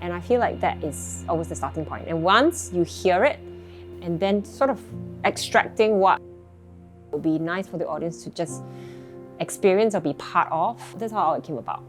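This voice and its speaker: A woman director reflecting thoughtfully in an interview, pausing to search for words. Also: lowish voice, lively intonation. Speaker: director of the production. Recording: music clearly underneath.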